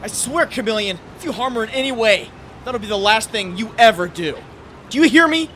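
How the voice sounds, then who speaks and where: A man acting as a young superhero with a fairly high, widely swinging voice, brooding and mocking himself in anguish. His comic dub monologue breaks into frantic urgency. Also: animated, fairly quick, pushed and bright. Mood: desperate, angry. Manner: brooding second-person monologue, bursts of urgency. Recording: roomy reverberant voice, faint noise.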